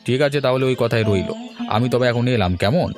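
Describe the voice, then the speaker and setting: A man's voice: medium pitch, music in the background, natural voice. A man voicing a snobbish middle-aged father in a cartoon, brusque and grumbling, with disdain for the poor. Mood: disdainful. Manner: brusque, sneering, quick to grumble. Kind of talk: Bengali cartoon voice acting.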